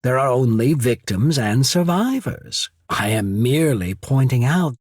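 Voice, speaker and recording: A man playing a calculating imperial diplomat in a video game, speaking in a measured, formal, persuasive manner with a husky voice. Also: mid-range voice, animated, a little mellow, no room sound, studio quality. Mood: proud, unyielding. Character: smooth, calculating male diplomat of an imperial trading power. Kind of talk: scripted video game character dialogue.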